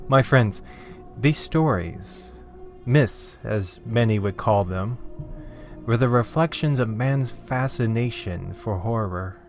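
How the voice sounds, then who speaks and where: A man narrating a scripted horror story in a theatrical, deliberate, dramatic way, with drawn-out phrases. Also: fairly low pitch, creaky. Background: background music.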